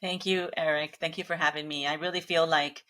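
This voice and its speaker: A woman's voice: sits low, fairly even intonation, fairly quick, pushed and bright. A middle-aged woman whose first language is Spanish, speaking earnestly and upbeat as a guest in a casual interview. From Mexican family, grew up in Los Angeles.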